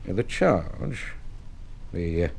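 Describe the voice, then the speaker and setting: A low-pitched British police detective in a radio drama. He is courteous and official, choosing his words with measured hesitation. He presses on methodically and insistently. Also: big pitch swings, vocal fry, a little mellow, a little hiss or hum, exaggerated voice. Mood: formal, grave.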